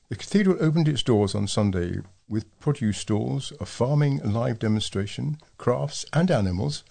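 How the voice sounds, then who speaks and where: A man's voice: mid-range voice, gravelly, a little mellow, husky. A man reading scripted local news stories as a volunteer newsreader.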